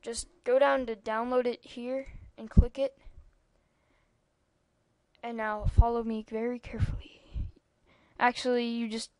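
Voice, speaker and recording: A woman's voice: a little high, level tone, measured pace, long pauses, mellow, smooth, no room sound. A young-sounding female speaker giving a rambling, casual computer tutorial over a screen recording, with lots of ums and asides. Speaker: tutorial maker.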